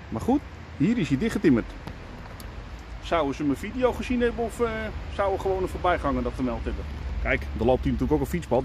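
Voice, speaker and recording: A man's voice: a little high, darkish tone, slight background noise. Chatty man vlogging on the move. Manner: chatty, musing aloud with many fillers. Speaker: urbex vlogger. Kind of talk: casual vlog commentary. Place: outdoors on a scooter, later indoors in a stairwell. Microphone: camera at arm's length.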